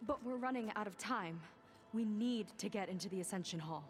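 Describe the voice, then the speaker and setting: A woman's voice: medium pitch, fairly quick, natural voice. A woman playing a guarded, skeptical young marine in a video game, wry and clipped. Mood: urgent. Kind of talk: video game cutscene acting.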